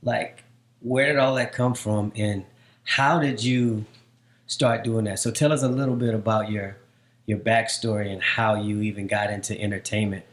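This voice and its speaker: A man's voice: lowish voice, fairly even intonation, normal speed. A man hosting a casual podcast interview, with enthusiastic, emphatic introductions. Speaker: podcast host.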